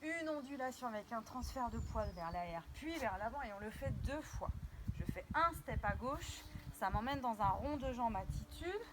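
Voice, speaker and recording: A woman's voice: fairly high pitch, expressive, normal speed, background noise. French woman teaching dance while moving. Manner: demonstrates while talking, counting beats and sung syllables. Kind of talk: step-by-step dance instruction.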